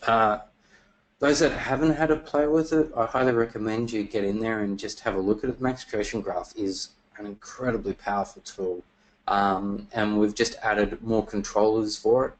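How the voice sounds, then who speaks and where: A man presenting software features in a webinar, talking casually with many fillers, his voice getting worn and losing strength. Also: lowish voice, poor audio. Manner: casual, many fillers and restarts, throat clearing.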